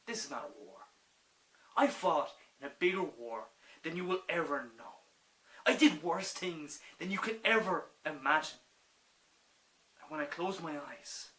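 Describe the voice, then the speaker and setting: Young man acting an anguished, high-voiced war veteran. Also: poor audio. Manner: intense, impassioned, swinging from quiet to loud. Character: anguished, forgiving war-veteran doctor pleading against revolution. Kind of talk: dramatic stage monologue.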